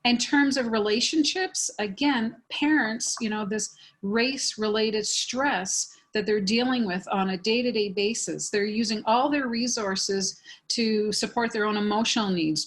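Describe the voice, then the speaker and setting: A woman panelist and professor, speaking earnestly and explaining at length in a panel discussion, often repeating phrases. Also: mid-range voice.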